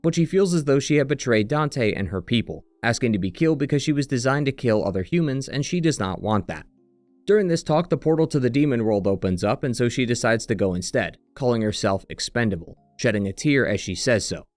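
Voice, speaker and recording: A man's voice: medium pitch, animated, fairly quick, a little mellow, no echo, faint music behind. A man reading scripted, analytical commentary as the narrator and critic of a video game retrospective.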